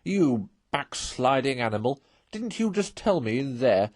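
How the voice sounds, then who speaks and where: A man's voice: medium pitch, very animated, a little slow, darkish tone, no room sound. A stern and earnest storybook badger, as a male reader puts him on. He speaks firmly and solemnly, like an authority among friends, in a close, dry recording. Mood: scandalized. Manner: solemn, firm, moralizing.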